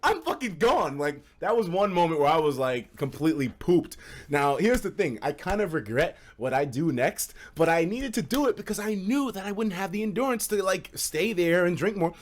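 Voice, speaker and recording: Animated high-pitched young American man storytelling. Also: normal speed. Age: young adult. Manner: animated, slangy and profane, acts out quoted dialogue. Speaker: streamer. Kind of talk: casual storytelling to a live chat.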